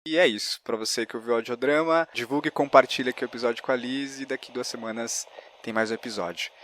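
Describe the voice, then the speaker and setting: A man's voice: mid-range voice, fast talker, a bit bright, a little hiss or hum. A Brazilian man with a Brazilian Portuguese accent, hosting a casual podcast interview. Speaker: podcast host.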